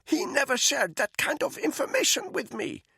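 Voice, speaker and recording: A man voicing a captive woman spy who croaks and gasps for air as she is strangled. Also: sits high, big pitch swings, moderate pace, bright, forceful tone, no echo, clean studio sound, voice pushed up, heavily acted. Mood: choking, desperate. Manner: croaking, strained pleading. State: choking, gasping.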